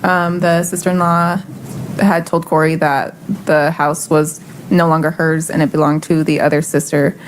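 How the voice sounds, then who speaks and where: Low-voiced woman testifying in court. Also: little pitch movement, moderate pace. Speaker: witness testifying. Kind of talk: courtroom testimony, brief answers. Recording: faintly noisy courtroom audio played back.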